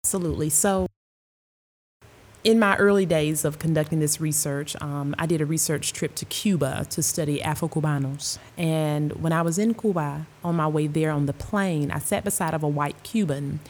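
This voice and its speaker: Low-voiced woman, measured and earnest. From United States. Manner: measured, earnest, with soft laughs and "um"s. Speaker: Spanish professor and researcher. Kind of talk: panel discussion, thoughtful storytelling.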